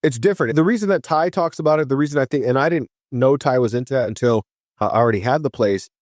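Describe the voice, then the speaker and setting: A man from Hawaii, a podcast guest, telling his story in a chatty, casual way and voicing himself with lively quotes. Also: medium pitch, fast talker, dry recording, clean studio sound. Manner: chatty, animated voice when quoting himself.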